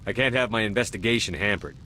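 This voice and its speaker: An actor playing a steady, commanding military officer in a video game, speaking firmly and directly. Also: male voice, lowish voice, quick pace, slight background noise. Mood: calm, firm. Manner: firm, direct.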